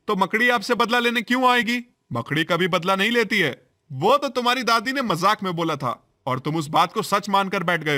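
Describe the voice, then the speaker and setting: A man voicing a kindly doctor in an animated story, speaking gently and coaxingly. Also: high-pitched. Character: kindly male child doctor, patient and reassuring.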